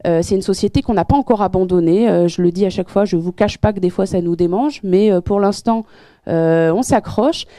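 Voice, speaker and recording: A woman's voice: mid-range voice, brisk, warm tone, no echo. A woman giving an explanatory talk with slides as a guest speaker, earnest and full of hesitations and restarts. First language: French. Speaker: guest speaker at a public debate.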